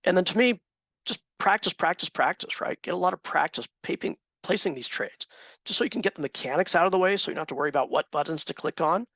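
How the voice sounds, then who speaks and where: A man with a fairly high, gravelly voice leads a trading class, chatting with his students and throwing questions to them. His voice is a little hoarse, and the sound is dry and close. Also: expressive, fast talker, sharp tone, dry recording. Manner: conversational, asks the class questions. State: slightly hoarse, clearing throat. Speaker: trading instructor. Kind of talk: teaching a live class.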